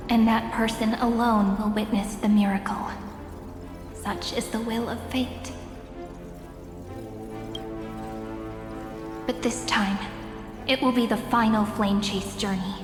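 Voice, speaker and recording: A gentle young heroine voiced by an actress speaks in a fairly high feminine voice, slowly and poetically. She has a dreamy, solemn tenderness suited to an epic video game. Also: fairly even intonation, natural voice. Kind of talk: dramatic game voice acting. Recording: echoey long reverb under clear music.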